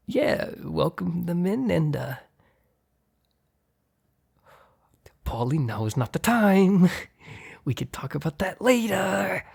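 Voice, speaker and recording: Mob boss boyfriend male actor, ASMR roleplay: extremely breathy and husky, slow, halting, blunt, ruthless but flustered. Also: a little high, heavily acted. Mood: nervous, flustered. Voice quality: breathy, husky, often near whisper. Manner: halting, sighing, blunt, swearing.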